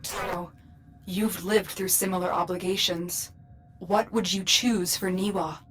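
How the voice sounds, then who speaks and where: An actress playing a composed, motherly commander in a video game, firmly protective, measured and insistent. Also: lowish voice, fairly even intonation, fairly bright tone, faint music behind. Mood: concerned, firm. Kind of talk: dramatic video game dialogue.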